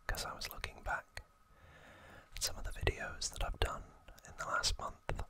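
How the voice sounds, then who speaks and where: A man in his thirties speaking in a breathy, hushed whisper as an ASMR host, gently and with pauses. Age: 30s. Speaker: host of an ASMR video channel. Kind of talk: ASMR trivia talk, whispered.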